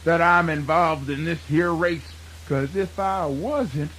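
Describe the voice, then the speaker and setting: A man voicing a boastful, gullible, easily flustered fox in a children's radio folk tale, with a husky, folksy Southern US delivery. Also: a little high, a bit bright, slight background noise. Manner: folksy, chuckling, drawn-out delivery.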